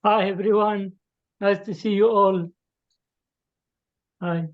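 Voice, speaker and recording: A man from Syria, a Kurdish speaker, talks in English. His voice sits a little high and he speaks very slowly, with many fillers and pauses. The sound is dull and lo-fi, as on a video call. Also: steady tone. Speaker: participant. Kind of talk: casual sharing on a video call.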